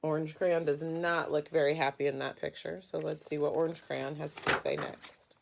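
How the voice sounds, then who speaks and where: A woman teacher leads a read-aloud lesson for children. Her voice sits fairly low with a lot of creak, and she speaks instructively, posing questions to her listeners. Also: little pitch movement, normal speed, a little hiss or hum.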